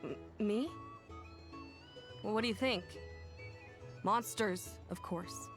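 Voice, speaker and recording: A woman's voice: medium pitch, takes it slowly, natural voice. Flippant young woman, acted, music behind. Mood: evasive. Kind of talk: video game character acting.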